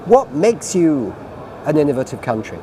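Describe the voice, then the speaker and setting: A man's voice: on the high side, very animated, moderate pace, noisy background. A British man moderating an online panel over a video call, asking long, chatty questions. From Britain.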